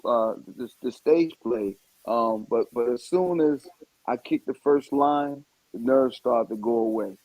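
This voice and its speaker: A man chatting casually in an interview, rambling in an animated way and repeating words for emphasis. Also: medium pitch, a little slow, no echo, rough recording. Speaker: stand-up comedian and stage play actor.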